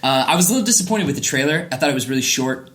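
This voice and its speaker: A man's voice: on the high side, animated, racing, a bit bright. A man hosting a casual gaming podcast, chatting in an enthusiastic, opinionated way. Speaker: podcast host.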